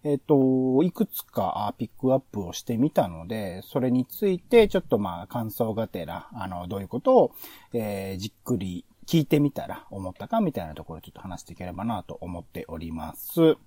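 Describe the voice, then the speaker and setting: A man hosting a casual podcast, talking in a halting way with drawn-out fillers as he thinks aloud. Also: fairly low pitch, sing-song, warm tone, breathy edge, dry recording. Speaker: podcast host. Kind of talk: casual podcast talk reviewing radio shows.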